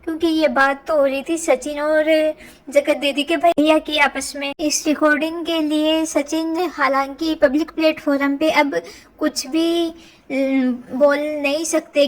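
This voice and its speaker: A woman's voice: high voice, rough recording. A woman vlogger giving informal gossip commentary in an opinionated, insistent, questioning manner. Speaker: reaction vlogger.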